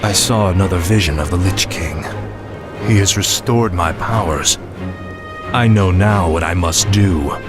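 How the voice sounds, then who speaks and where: A man's voice: sits low, unhurried. An actor playing a fallen undead death-knight king, giving stern, resolute commands. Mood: determined. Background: orchestral music.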